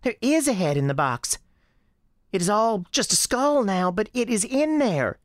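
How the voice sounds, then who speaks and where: A woman voicing a young girl with a high girlish voice and a folksy, childlike storytelling manner in an audio drama. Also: big pitch swings, a little slow, no room sound, studio quality. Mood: insistent. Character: young Southern girl Sarah, earnest, defiant, chatty. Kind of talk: acted audio drama dialogue.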